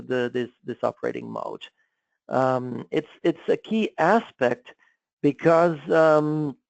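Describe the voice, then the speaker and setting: A man giving an informal technical lecture, explaining step by step in a halting, repetitive way and often checking that listeners follow. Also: on the high side, vocal fry, a little mellow, dry recording, poor audio. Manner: halting, repetitive, checks understanding with frequent "okay?".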